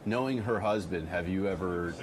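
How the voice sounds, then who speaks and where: A man conducting an unscripted radio interview, putting measured, probing questions. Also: low-pitched, noisy background.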